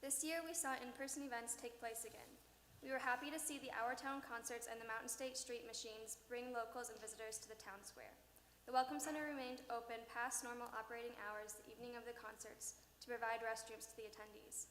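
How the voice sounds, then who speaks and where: A woman's voice: fairly high pitch, steady tone, a little fast, fairly bright tone. A woman presenting a prepared report to a meeting on behalf of her organization. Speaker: presenter for a visitor welcome center. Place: city hall meeting room. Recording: echoey hall with clear noise.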